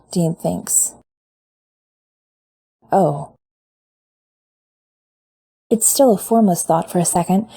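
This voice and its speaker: A woman reading fan fiction aloud in a measured, intimate storytelling manner. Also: medium pitch, warm tone. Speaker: podfic reader. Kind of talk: fan fiction audiobook narration.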